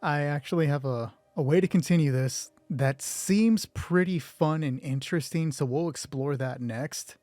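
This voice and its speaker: A man's voice: on the high side, moderate pace, few pauses, darkish tone, dry recording. Guy rambling casually about his roleplay show, self-deprecating, swears a bit, dry close mic, music behind. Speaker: solo roleplay show creator and host. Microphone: close mic with gain set high.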